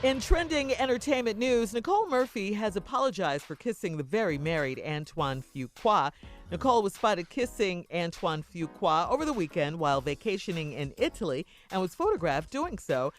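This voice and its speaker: A woman's voice: mid-range voice, sing-song, normal speed, faint music behind. An American woman co-hosting a radio show, moving between reading news and chatty banter.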